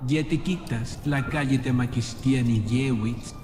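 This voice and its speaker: A man playing a proud, scheming god in a fan-dubbed animated drama, solemn and declamatory in delivery. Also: mid-range voice, a little slow, faint music behind, close to an everyday voice. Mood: challenging. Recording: echoey hall reverb, noisy background.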